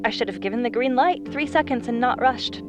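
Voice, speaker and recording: A man's voice: extremely high pitch, a little fast, muffled recording, music in the background, pitched above the performer's own voice. A man voicing an anxious, pushy young woman who apologizes and rambles on the phone in an audiobook. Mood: apologetic.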